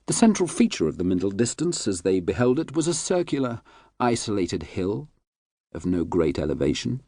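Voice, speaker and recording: A man reading literary fiction as an audiobook narrator, in a measured, precise manner. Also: fairly low pitch, darkish tone, dry recording, clean studio sound.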